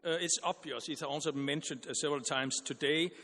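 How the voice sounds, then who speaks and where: Guy on a conference panel, kinda high voice, stops and restarts a lot, big echoey hall. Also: sharp tone. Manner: halting, with frequent restarts and repeated words.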